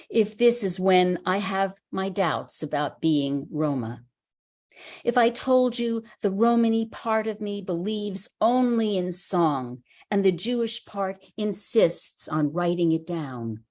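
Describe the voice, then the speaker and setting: Slow, measured woman reading poetry. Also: medium pitch, a little mellow. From United States. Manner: measured, unhurried recitation. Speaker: poet. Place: reading at a writers center.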